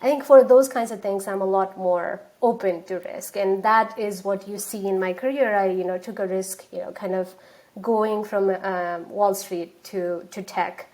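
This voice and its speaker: A woman talks through an interview in a loose, chatty way. She leans on fillers and restarts her sentences often, in a voice of middling pitch for a woman. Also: fairly even intonation, a little slow, a little mellow. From Nepal. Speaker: guest, startup founder. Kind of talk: casual interview talk.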